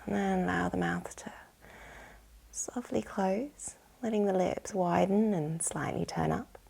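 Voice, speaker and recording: A woman with a soft, breathy, whispery voice, soothingly guiding a yoga nidra relaxation with gentle instructions. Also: on the low side. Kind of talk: guided relaxation meditation.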